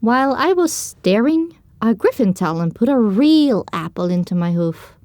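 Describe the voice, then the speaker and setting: A young heroine played by a woman narrates her own tale in a fairly high voice. The storytelling is descriptive and expressive, recorded close and dry. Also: normal speed, no echo. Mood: narrating. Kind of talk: scripted first-person audiobook narration.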